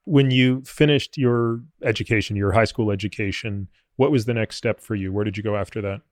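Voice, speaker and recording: A man speaking as a podcast host, introducing and interviewing in a measured, articulate way. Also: on the low side, dry recording, clean studio sound.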